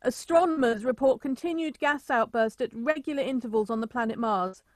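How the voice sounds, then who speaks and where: A woman playing a grave radio news announcer, reading emergency bulletins in a formal, measured way for a radio play. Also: fairly high pitch, dry recording, studio quality, close to an everyday voice. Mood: urgent, formal.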